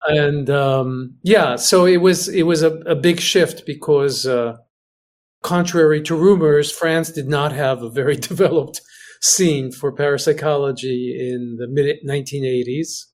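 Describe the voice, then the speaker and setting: Halting man on a video call. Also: on the high side, darkish tone. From Greece. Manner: halting, searches for words, often restarts. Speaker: guest, researcher. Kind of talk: casual conversation.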